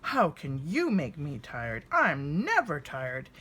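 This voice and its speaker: Woman plays old storybook grandpa bear, jolly and boastful, playful bluster, very animated delivery. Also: low-pitched, slow pace, slightly rough, pitched below the performer's own voice, heavily acted. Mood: playful boasting.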